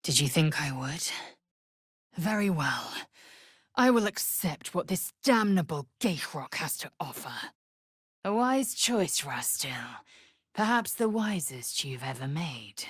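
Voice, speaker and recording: A woman's voice: low-pitched, sing-song, slow pace, husky, no room sound, studio quality, full character voice. An actress playing a fierce, proud young alien warrior woman in a fantasy video game, speaking in an imperious, clipped, scornful way with formal phrasing. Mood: brisk, commanding.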